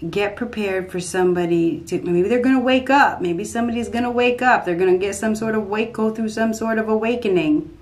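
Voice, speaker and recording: A woman in her fifties giving an unscripted tarot reading at a desk in a small dark room, repeating herself emphatically as she thinks aloud. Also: mid-range voice, fairly quick. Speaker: tarot reader.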